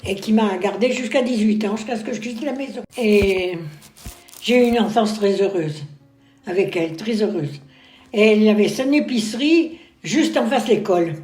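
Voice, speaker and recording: A woman's voice: mid-range voice, mellow. An older woman, a retirement home resident, fondly reminiscing about a happy childhood. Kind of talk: recalling memories in an interview. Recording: roomy with music underneath.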